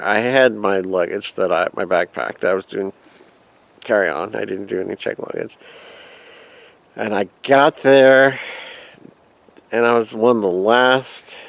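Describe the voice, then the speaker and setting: A man's voice: low voice, creaky. A man casually telling a rambling personal travel story, reminiscing and quoting his own past thoughts. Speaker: vlogger.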